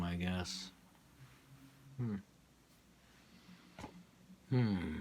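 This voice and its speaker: A man with a deep, low voice reads his own poetry. He is halting and musing, dropping into muttered asides between lines. The amateur recording carries faint background noise. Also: vocal fry. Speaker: poet reading own poems.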